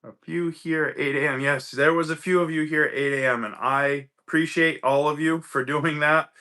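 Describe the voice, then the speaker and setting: A man's voice: fairly high pitch, fairly even intonation, normal speed, sharp tone. A man hosting a casual livestream, greeting viewers by name, a little stuffy from a cold. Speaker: livestream host.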